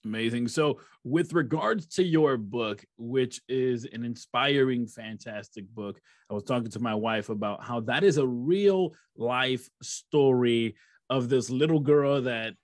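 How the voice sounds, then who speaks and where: A man from Rochester, New York hosts a podcast, asking his guest measured, reflective questions. His voice sits at a middle pitch, and the recording is dry and close. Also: dry recording. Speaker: podcast host. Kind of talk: interview questions.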